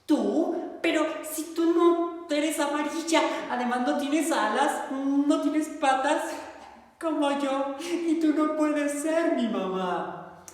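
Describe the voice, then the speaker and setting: A woman voicing a tiny, lonely duckling girl who calls out in a high, pleading, drawn-out way. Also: a little mellow. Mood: tearful. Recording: roomy hall, far from the mic.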